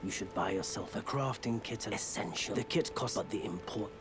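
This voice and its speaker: Nomadic game merchant, male, played by an actor: lowish voice, world-weary, friendly, softly selling, over music. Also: normal speed, lightly acted. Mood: friendly, persuasive. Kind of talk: video game character dialogue.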